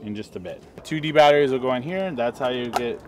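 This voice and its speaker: A man's voice: medium pitch, fast talker, a little mellow, slight background noise. A middle-aged man casually walking through a product setup step by step, outdoors in the woods. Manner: easygoing, explains step by step while handling gear. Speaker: camping vlogger. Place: outdoors in sunny woods by a vehicle.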